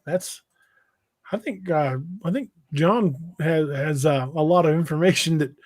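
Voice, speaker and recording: A man casually hosting a livestream, reading out comments and chatting in a halting, hesitant way. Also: on the high side, a little mellow, no room sound. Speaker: podcast host and novelist. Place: indoors on an internet livestream video call.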